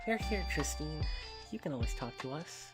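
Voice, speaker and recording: Woman acting low-voiced, blunt young musician. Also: animated, normal speed. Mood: matter-of-fact. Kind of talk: visual novel voice acting. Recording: music behind the voice.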